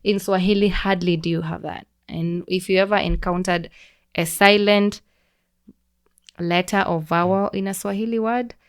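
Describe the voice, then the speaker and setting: A young woman with a Kenyan accent, a podcast guest explaining things in a relaxed, teacherly way with frequent restarts. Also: mid-range voice, moderate pace, clear, resonant tone. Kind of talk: casual conversational explaining.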